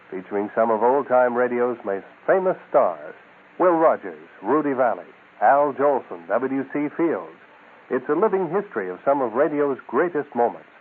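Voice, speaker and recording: A man's voice: mid-range voice, narrow, tinny audio. A man hosting a radio show, reading scripted promotional announcements on a thin radio recording.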